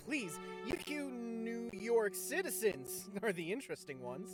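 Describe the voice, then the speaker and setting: Actor doing polite flustered awkward type in an audio drama, slow and halting, loud music on top. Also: medium pitch, sing-song. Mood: earnest, awkward.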